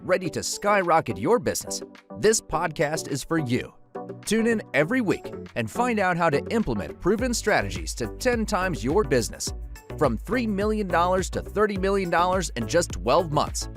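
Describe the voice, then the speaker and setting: A man announces a podcast intro in a punchy, salesy promo read. His pitch sits a little high and swings widely. A clear music bed plays under his voice. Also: very animated, normal speed. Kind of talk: hyped promo voice-over.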